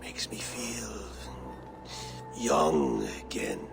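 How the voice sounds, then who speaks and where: An actor playing a frail old Viking jarl near death, speaking in a breathy, weary whisper in a video game. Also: male voice, extremely low pitch, big pitch swings, extremely slow, over music, exaggerated voice. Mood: fond, fading. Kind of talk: dramatic video game acting.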